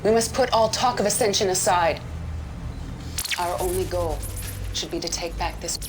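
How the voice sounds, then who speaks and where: Low-voiced woman as proud general, loud music. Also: lightly acted. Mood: solemn, resolute. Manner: solemn, deliberate, declamatory. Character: proud, ambitious female general of a militant brotherhood. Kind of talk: video game cutscene acting. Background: loud dramatic music.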